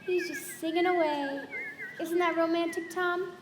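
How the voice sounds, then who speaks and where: Very high-voiced, gushing socialite. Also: female voice, steady tone. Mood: affected, playful. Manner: gushing, drawn-out, affected. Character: breathless, theatrical, languid young socialite wife. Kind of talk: live radio play acting. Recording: echoey hall with faint noise.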